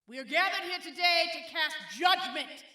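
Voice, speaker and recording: A woman's voice: very high voice, moderate pace, sharp tone, heavily acted. A woman playing an imperious, cruel raider cult leader in a post-apocalyptic audio drama, making pompous ceremonial pronouncements. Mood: solemn, imperious. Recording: echoey room, distant from mic.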